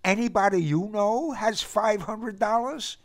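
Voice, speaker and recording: A man's voice: high voice, measured pace, voice pushed up. A man voicing an eager young male immigrant who speaks broken English. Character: hot-dog-loving young male Russian immigrant.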